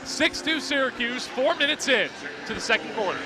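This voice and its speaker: A man's voice: very high-pitched, lively intonation, brisk. A young man doing live radio play-by-play commentary in clipped, vivid present-tense calls. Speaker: play-by-play announcer. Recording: outdoor broadcast with clear background noise.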